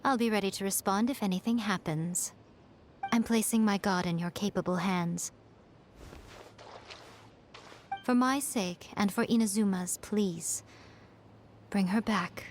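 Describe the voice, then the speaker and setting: A woman voicing a sly, elegant fox-spirit shrine familiar in a video game, poised and lofty with deliberate, teasing phrasing. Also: medium pitch, slight background noise, close to an everyday voice. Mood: composed, instructing, then solemn. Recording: game music underneath. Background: game music.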